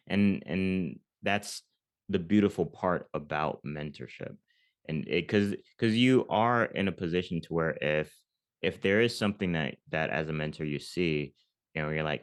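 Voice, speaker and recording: A man's voice: rumbling low, unhurried, clean tone. A young man from Jamaica hosting an interview, affirming his guest with enthusiasm. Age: young adult. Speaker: podcast host.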